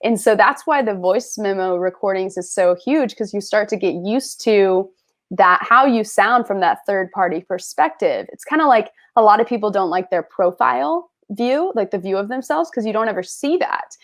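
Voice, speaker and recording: A woman's voice: medium pitch, lively intonation, moderate pace. A woman talking as the guest, giving upbeat, encouraging advice straight to viewers in a casual chat. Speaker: guest creator. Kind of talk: casual advice on a video call.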